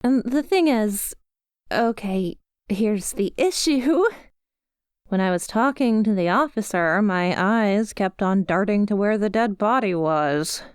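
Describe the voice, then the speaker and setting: A woman's voice: medium pitch, lively intonation, takes it slowly, clean tone, dry recording, studio quality. A woman playing a bubbly, inept young yandere schoolgirl in an ASMR roleplay, chatty, melodramatic and wheedling as she talks to her crush. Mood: sheepish, chatty.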